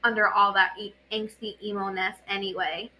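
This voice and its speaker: A woman puts on a tough young girl in an audio story, cocky and teasing. The voice is bright and casual, on a slightly noisy home recording. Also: mid-range voice, flat delivery, moderate pace.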